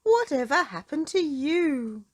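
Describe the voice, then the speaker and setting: A woman's voice: high voice, sing-song, slow, pitched above the performer's own voice, full character voice. A woman voicing a kindly male doctor in a children's storybook, hearty and exclaiming. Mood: astonished. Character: kindly, chuckling male doctor, scheming helpfully.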